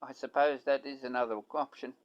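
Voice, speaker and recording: A man's voice: mid-range voice, fairly even intonation, brisk, lo-fi sound, lightly acted. A man playing a wry, polite young Australian soldier back home from the war in a radio play. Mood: polite, easygoing. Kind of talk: amateur radio play dialogue.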